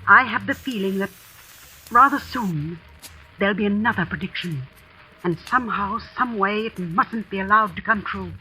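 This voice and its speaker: An actress playing a shrewd, confiding school housekeeper in an old radio suspense drama, earnest and deliberate. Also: female voice, mid-range voice, pushed and bright, close to an everyday voice. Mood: grave, conspiratorial. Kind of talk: 1940s-50s radio suspense acting. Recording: noisy vintage radio recording.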